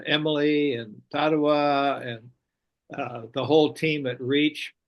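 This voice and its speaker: A man hosting a video call meeting, introducing the speaker in a halting way with many uhs and repeated words. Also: mid-range voice.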